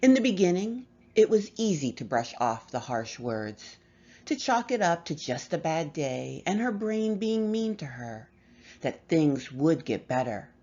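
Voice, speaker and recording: A woman reading a horror story aloud with measured, expressive delivery and dramatic pauses. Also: low voice, highs cut off. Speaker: story reader.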